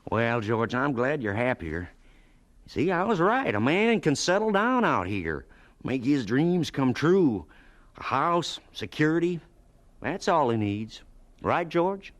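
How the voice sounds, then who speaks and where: An actor playing a hearty, practical prairie settler in a radio drama, folksy and plainspoken in manner. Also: male voice, mid-range voice, very animated, slow pace. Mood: hearty, warm.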